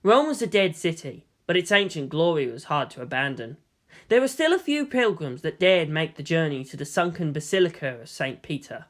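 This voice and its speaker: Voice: medium pitch, big pitch swings, normal speed, fairly bright tone. Narator dengan intonasi yang sangat hidup. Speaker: narrator, fan fiction reader. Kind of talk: scripted narration, audiobook reading.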